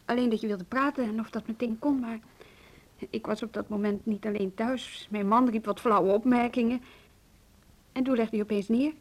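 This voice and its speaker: A woman's voice: fairly high pitch, steady tone, moderate pace, a little mellow, close to an everyday voice. An actress playing a concerned married woman who probes earnestly in a radio play. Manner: probing, earnest. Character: concerned, persistent married woman colleague, eager to help. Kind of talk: Dutch radio play acting.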